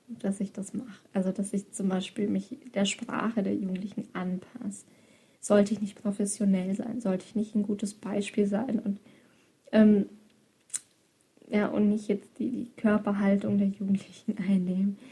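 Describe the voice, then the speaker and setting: A woman's voice: medium pitch, mellow, breathy edge. A woman who works as a youth educator, reflecting on her work in a loose, thoughtful monologue with frequent hesitations. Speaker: youth educator and book author.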